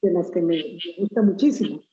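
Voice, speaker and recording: Mellow-voiced woman interviewer, roomy sound. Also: lowish voice, muffled recording, slight background noise. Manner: measured, thoughtful questions. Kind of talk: book presentation interview.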